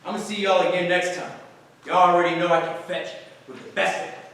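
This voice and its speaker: A boisterous, strutting showman of an actor in a stage play. His voice is high and full of folksy swagger. It carries through a very echoey hall from a distance. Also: flat delivery, brisk, a bit bright. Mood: cocky. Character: cocky, strutting, jive-talking actor, cheerfully boisterous.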